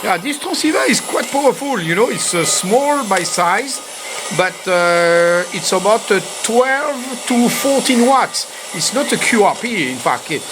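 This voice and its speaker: A loud, steady hiss of equipment noise sits over the recording. An amateur radio operator talks casually in a medium-pitched voice. The delivery is halting, with non-native English phrasing, as in an informal demonstration of homebrew gear. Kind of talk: casual chat to camera.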